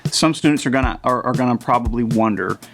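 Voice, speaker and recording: A man hosts an interview over faint music. His voice sits at an average male pitch, and his questions meander in a conversational way. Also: fast, fairly bright tone. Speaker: host, interviewer.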